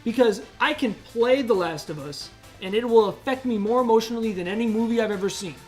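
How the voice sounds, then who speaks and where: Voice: mid-range voice, a little fast, faint music behind, low-quality recording. A podcast co-host talking casually and with opinions, emphatic, slangy and given to swearing.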